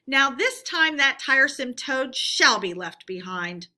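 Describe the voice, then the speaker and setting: A woman voicing a commanding male badger in a storybook, brisk, bossy and decisive. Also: high-pitched, big pitch swings, sharp tone, heavily acted. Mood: angry. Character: gruff, commanding male badger leader, impatient but fair.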